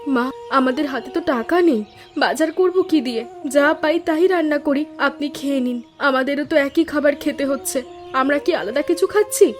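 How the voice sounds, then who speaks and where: A woman's voice: high-pitched, normal speed, soft background music, natural voice. A woman voicing an earnest, dutiful village daughter-in-law in a cartoon story, worried but resolute. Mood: pleading. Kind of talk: Bengali cartoon story dialogue.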